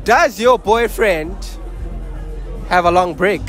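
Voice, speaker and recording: A young man with a fairly high voice runs a playful vox-pop at night, joking and laughing between questions. He holds a phone up as a mic. Loud music and a chattering crowd fill the lounge around him. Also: slow. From Portugal. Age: young adult. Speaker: street interviewer. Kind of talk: casual vox-pop interview. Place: lounge bar at night, indoors. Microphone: handheld phone mic.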